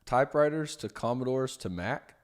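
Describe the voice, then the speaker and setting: A man's voice: mid-range voice, lively intonation, moderate pace. A man in his thirties co-hosting a podcast in casual chat, joking and laughing often. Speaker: podcast co-host.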